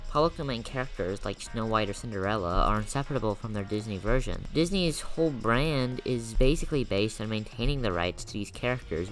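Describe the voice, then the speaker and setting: A man reading scripted narration for a video essay. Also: on the low side.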